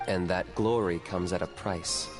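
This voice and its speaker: A calm young male hunter, blunt and deadpan, with a lowish voice. He delivers everything matter-of-factly over game music. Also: a little slow. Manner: blunt, matter-of-fact, laid-back. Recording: game audio with music behind.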